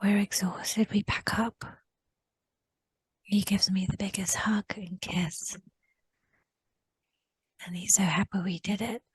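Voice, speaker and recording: A woman whispering a slow first-person recollection in a guided session, often repeating short phrases. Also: mid-range voice, fairly even intonation, husky, no room sound, rough recording.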